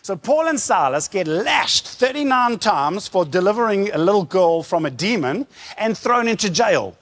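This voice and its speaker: A man preaching in an animated storytelling style, using rhetorical questions, emphatic repetition and informal retelling. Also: high-pitched, moderate pace, big-room sound.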